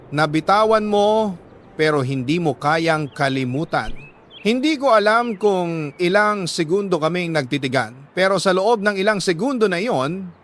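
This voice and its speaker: A man with a Filipino accent reading a dramatic love story as scripted narration, deliberate and sentimental, with dramatic pauses. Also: a little high, fairly bright tone, a little hiss or hum. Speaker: storyteller reading a listener's letter.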